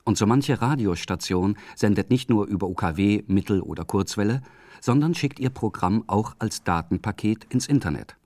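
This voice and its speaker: A man reading a scripted radio documentary in a calm, measured way. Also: on the low side, no echo. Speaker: radio feature narrator.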